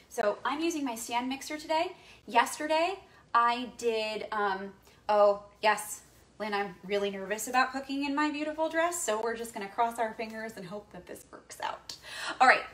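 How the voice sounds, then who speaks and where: Young woman, bright voice, chatty teacher doing a home kitchen demo. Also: on the high side. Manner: chatty, explaining step by step. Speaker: history teacher. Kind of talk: cooking demonstration with history lesson.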